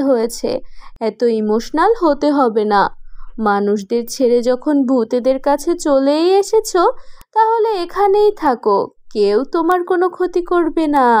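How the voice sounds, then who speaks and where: A woman's voice: sits high, animated, moderate pace, a little mellow. A woman voicing a teasing, bossy but kindly young female ghost in a horror cartoon, given to mocking cackles. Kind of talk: animated horror cartoon voice acting.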